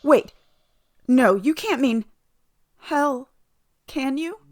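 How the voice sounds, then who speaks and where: A woman playing an earnest, excitable animal-loving veterinarian in an audio play, with expressive, theatrical delivery. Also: high-pitched, drawn-out words, exaggerated voice. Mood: pleading.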